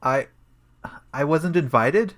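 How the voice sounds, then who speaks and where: A man's voice: a little high, slow, fairly bright tone. A man playing a self-important, deluded and bumbling police detective in a comedic audio play, with a hesitant, pompous way of talking. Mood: awkward. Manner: pompous, hesitant, full of himself.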